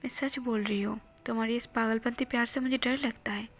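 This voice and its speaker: A woman's voice: a little high, steady tone, brisk, lightly acted. A woman whispering as an anxious young woman. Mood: fearful. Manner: soft whispering, fearful.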